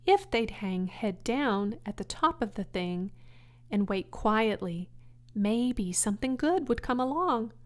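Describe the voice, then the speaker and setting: A woman's voice: on the high side, animated, takes it slowly. A woman voicing a wise, kindly female spider in a children's storybook, matter-of-fact and articulate. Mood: opinionated.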